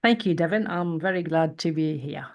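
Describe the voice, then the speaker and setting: A woman in her forties, a psychologist guest speaking in a measured, thoughtful way in an interview. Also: deep voice, a little mellow. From Lebanon. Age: 40s. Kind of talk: interview conversation.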